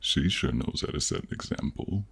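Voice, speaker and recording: A man playing a proud, boastful Norse thunder god with a gruff, husky voice in a fantasy audio roleplay. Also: rumbling low, animated, moderate pace, a bit bright, heavily acted. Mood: weary, grumbling. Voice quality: gravelly, husky. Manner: boastful, pompous, easily provoked.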